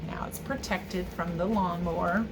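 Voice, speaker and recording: A woman's voice: on the low side, fairly even intonation, normal speed, very noisy. A woman reading and explaining to young viewers as a children's read-aloud teacher and host.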